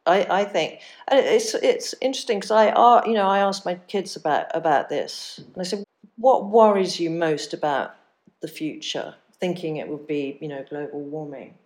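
Chatty middle-aged woman host, lowish voice. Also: moderate pace, a little mellow. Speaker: podcast host. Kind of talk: casual interview chat.

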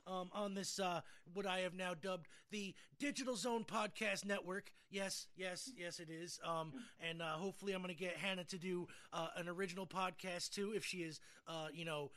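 A man's voice: sits high, steady tone, normal speed, few pauses, pushed and bright. A middle-aged American man hosting a podcast in casual, jokey, rambling chat. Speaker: podcast host.

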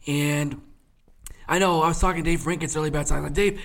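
Man, late twenties, podcast co-host, chatty and rambling, lots of fillers, slightly high voice. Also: fairly even intonation, brisk, vocal fry, fairly bright tone. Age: 20s. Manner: chatty, rambling, laughs often, frequent fillers. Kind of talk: casual sports podcast chat.

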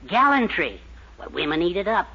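A man's voice: sits high, very animated, moderate pace, vocal fry, pushed and bright, breathy edge, heavily acted. An actor playing a fatherly, advice-giving older man, in a genial, folksy 1940s radio sitcom style. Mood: advising. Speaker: Mr. Anderson, Mildred's father. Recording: dull old radio broadcast.

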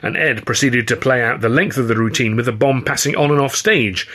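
Male audiobook narrator, first-person fiction, expressive, bright tone with some rasp. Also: mid-range voice, fairly quick. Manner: expressive storytelling with acted dialogue. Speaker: author reading own novel.

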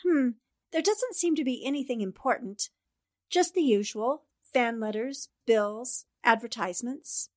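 An actress playing a brisk, self-assured newspaper reporter in 1940s radio drama style. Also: female voice, fairly high pitch, a little mellow. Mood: breezy. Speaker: actor.

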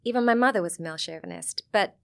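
A woman's voice: medium pitch, racing. A middle-aged woman with a Sussex English accent, a guest chatting frankly and lightly, laughing often. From England. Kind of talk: casual interview chat.

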